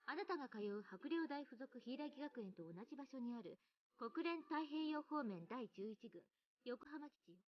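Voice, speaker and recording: Through slightly muffled game audio comes a commanding woman who runs a military base. Her voice is fairly high and swings freely in pitch. She talks very fast, with nonchalant authority and quiet menace, in visual novel style. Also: lively intonation, dry recording. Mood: matter-of-fact, assured. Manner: brisk, casual, authoritative.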